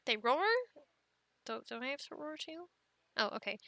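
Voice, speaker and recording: A woman playing an affectionate, playful young mother in an ASMR family roleplay, talking gently and haltingly to her children. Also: sits high, no room sound, clean studio sound. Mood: playful, bemused. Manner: gentle, halting, soft-spoken with playful bursts.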